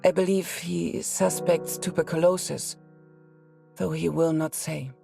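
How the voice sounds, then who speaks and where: A woman's voice: fairly low pitch, steady tone, measured pace, creaky. A woman playing a worried, loving wife who reads her letter aloud in a game drama. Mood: worried, tender. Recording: piano music behind the voice.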